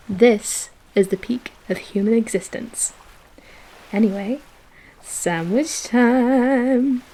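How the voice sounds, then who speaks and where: A woman's voice: on the high side, very slow, long pauses, low-quality recording. A woman acting a playful, affectionate girlfriend in a romantic audio roleplay, with a theatrical, teasing manner. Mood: content, doting. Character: playful, dramatic, affectionate girlfriend on a beach picnic. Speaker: voice actor.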